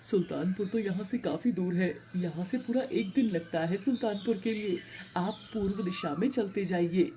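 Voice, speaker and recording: A poor, kind-hearted village farmer played by a woman speaks in earnest, plaintive tones. The voice is soft-edged and dark, from an animated moral tale, with some noise and ambience behind it. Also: medium pitch, normal speed, pitched below the performer's own voice, close to an everyday voice. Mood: helpful, curious. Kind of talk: animated moral story dialogue.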